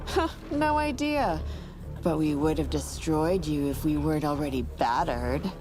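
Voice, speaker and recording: A woman's voice: sits low, expressive, unhurried, music in the background. A woman voicing a mocking, haughty vampire villain in a video game cutscene. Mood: mocking, smug.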